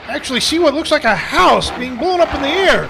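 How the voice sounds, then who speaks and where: A man's voice: extremely high pitch, expressive, creaky, noisy background, full character voice. A man playing an amiable, stammering Old West character in a radio western parody. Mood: wide-eyed. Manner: stammering, repetitive, drawn-out wonder.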